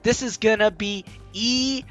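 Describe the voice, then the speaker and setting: A man's voice: sits high, steady tone, normal speed, fairly bright tone, soft background music. A man hosting a gaming video, reading game narration aloud and throwing in jokey asides. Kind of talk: let's play commentary mixed with reading game narration aloud.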